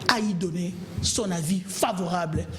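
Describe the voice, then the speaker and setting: A man's voice: high voice, normal speed, a bit bright, roomy sound, slight background noise. A man from Kongo Central, arguing emphatically as a panelist in a political TV debate. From Kongo Central, DR Congo. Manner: impassioned, emphatic, pleading. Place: TV studio.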